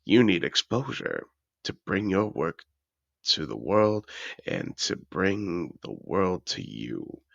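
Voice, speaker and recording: Fantasy audio roleplay: male actor as a wealthy, seductive dragon in human guise; extremely low, slow, cultured and effusive. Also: very animated, creaky, a bit bright, no room sound, highs cut off, exaggerated voice. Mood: excited, urging. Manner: refined, effusive, theatrical phrasing. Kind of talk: scripted audio roleplay addressed to the listener.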